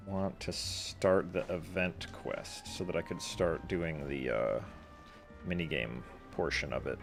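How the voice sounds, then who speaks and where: A man's voice: low-pitched, gravelly. A man doing casual live game commentary as a streamer, rambling and offhand.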